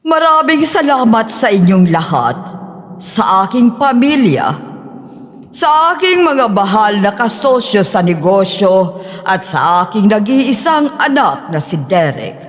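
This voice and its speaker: A woman playing a rich, scheming sixty-year-old matriarch, speaking in an imperious, measured, formal way in an audio drama. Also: a little high. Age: older. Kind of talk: dramatized audio story acting. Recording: long hall reverb.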